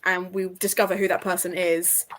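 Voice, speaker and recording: A woman's voice: lowish voice, level tone, fairly quick, a little hiss or hum. A woman in a casual book discussion, talking informally as one of a panel. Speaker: readalong panelist.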